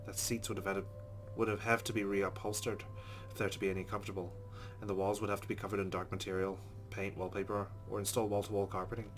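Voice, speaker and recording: A man's voice: fairly low pitch, flat delivery, fast talker, hardly any pauses, a little hiss or hum. A man hosting a let's play, reading a visual novel's narration aloud steadily and adding dry asides.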